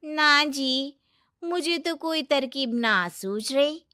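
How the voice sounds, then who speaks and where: Woman playing bright, high-pitched village wife. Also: animated, slow pace, no echo, clean studio sound, exaggerated voice. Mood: fawning. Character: moneylender's greedy, flattering village wife and villagers. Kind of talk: Hindi moral story cartoon voice acting.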